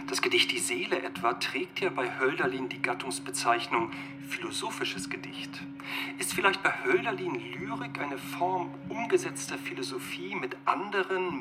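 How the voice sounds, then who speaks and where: A man posing prepared questions as an interviewer. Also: medium pitch.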